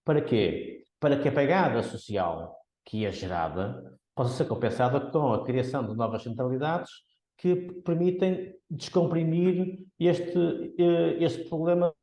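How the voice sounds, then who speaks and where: A man's voice: mid-range voice, mellow. Porto-accented man explaining in an echoey room. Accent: Portuguese, Porto. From Porto, Portugal. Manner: measured, explanatory, frequent restarts. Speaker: economist guest. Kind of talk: podcast interview.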